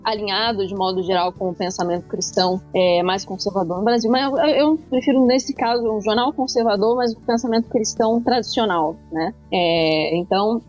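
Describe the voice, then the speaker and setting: A woman journalist introducing herself on a panel in a measured, self-correcting way. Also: medium pitch, a little mellow, dull audio, soft background music. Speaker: journalist guest. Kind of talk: casual panel conversation.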